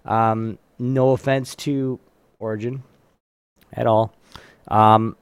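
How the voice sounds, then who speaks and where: A man's voice: fairly low pitch, little pitch movement, takes it slowly, clean tone, no echo. Casual man hosting trivia, close dry sound. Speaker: host of a trivia game on a live show. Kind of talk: casual chat, reading trivia aloud.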